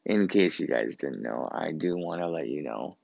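A man's voice: very deep voice, expressive, moderate pace, creaky, a little mellow, no echo, highs cut off. A young Canadian man who wears braces, hosting his own podcast and rambling through a casual story. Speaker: podcast host. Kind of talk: casual solo podcast monologue.

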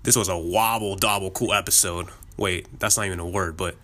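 A cheerful male podcast host winding down an episode with a friendly, rambling ramble of thanks and shout-outs. His voice sits somewhat low and carries a slight sick heaviness. He laughs often between lines. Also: steady tone, fairly quick, a little hiss or hum. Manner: upbeat, chatty, laughs often. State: slightly sick, voice a bit lower. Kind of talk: casual podcast outro.

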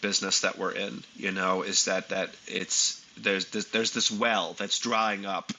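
A man's voice: low voice, little pitch movement, measured pace, bright, forceful tone, a little hiss or hum. A man speaking earnestly in casual, reflective conversation, often restarting his phrases, on somewhat dull audio.

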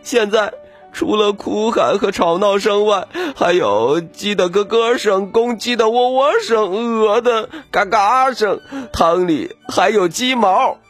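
A man voicing a desperate poor peasant who pleads in a high, sobbing wail. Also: slow, faint music behind. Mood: pleading. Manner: high wailing pleas, near sobbing.

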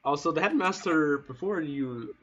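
A man's voice: on the high side, expressive, moderate pace, lo-fi sound, background noise. A man running a tabletop game as game master, explaining rules and narrating at length. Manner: explanatory, patient, talks through interruptions.

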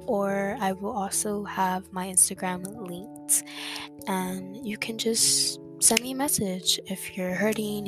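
A young woman hosting her own podcast, talking in a soft, reflective way and repeating words for emphasis. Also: medium pitch. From Dominican family background. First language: English. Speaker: podcast host. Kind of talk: casual solo podcast monologue. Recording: music playing underneath.

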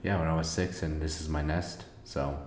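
A man from Mexico co-hosting a casual podcast as a youth mentor, relaxed and quick to laugh. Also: extremely low pitch, roomy sound, a little hiss or hum.

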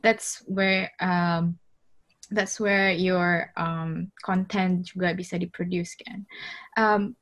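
A woman's voice: fairly low pitch, fairly even intonation, slow pace, fairly bright tone. A woman co-hosting an interview, asking questions in a chatty mix of two languages. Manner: mixes Indonesian and English, chatty with fillers. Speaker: co-host.

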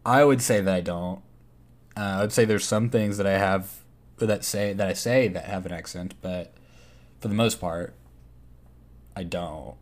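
A man's voice: deep voice, moderate pace, pauses often. Young man with a slight Texas twang. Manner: chatty, rambling, looks things up mid-talk. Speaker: podcast co-host. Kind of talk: casual chat.

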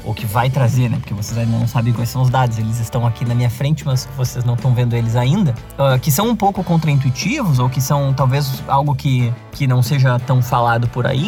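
Young adult male podcast host, southern Brazilian accent, relaxed rambling delivery, faint music bed. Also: medium pitch. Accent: southern Brazilian (gaúcho). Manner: conversational, many fillers and self-restarts. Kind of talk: casual podcast monologue.

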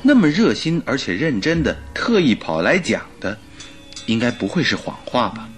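An actor playing a detective's inquisitive companion in a radio drama, reasoning aloud in a conversational way. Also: male voice, mid-range voice, sing-song, moderate pace, soft background music, close to an everyday voice. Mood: pondering.

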